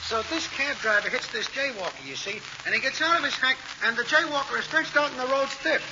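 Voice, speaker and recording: An actor playing a chatty, street-wise cab driver with brash slang in an old radio play. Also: male voice, extremely high pitch, lively intonation, fast talker. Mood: joking. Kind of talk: 1940s radio acting. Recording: dull, hissy old radio recording.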